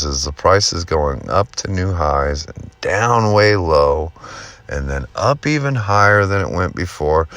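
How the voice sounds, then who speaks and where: Male video host, extremely low voice, unhurried delivery, folksy and self-deprecating, talking markets off the cuff. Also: creaky, a bit bright. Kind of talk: casual off-the-cuff market commentary.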